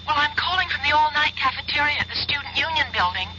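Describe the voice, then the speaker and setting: An actress playing an earnest, haunted young college student in a 1970s-style radio drama, hesitant and breathless with trailing pauses. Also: female voice, high voice, expressive, bright, forceful tone, a little hiss or hum, exaggerated voice. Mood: urgent, apologetic. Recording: muffled old radio broadcast recording.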